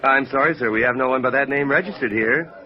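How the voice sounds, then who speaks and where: A man's voice: medium pitch, fast, a crowd in the background, close to an everyday voice. Muffled radio, man acting a courteous American desk clerk. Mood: polite. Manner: courteous, formal. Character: polite, formal male apartment hotel desk clerk. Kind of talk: 1950s radio drama acting. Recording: dull old radio recording, busy background.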